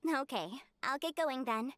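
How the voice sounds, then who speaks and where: An actress voicing a caring, protective young sister in a video game, with a gentle, reassuring manner. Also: female voice, sits high, animated, unhurried, no echo. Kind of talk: English video game voice acting.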